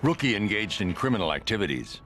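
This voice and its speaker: A male actor voicing a stern, distrustful vigilante hero in an animated video game, terse and deadpan. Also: on the low side, fast talker, soft background music. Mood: grim, wary.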